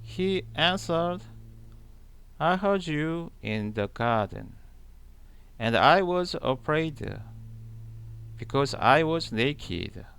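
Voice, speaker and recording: A man reading scripture aloud in a deliberate manner, pausing between short, halting phrases. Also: on the high side, animated, vocal fry, a bit bright, smooth. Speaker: channel host reading the Bible aloud. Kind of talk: slow scripture read-aloud.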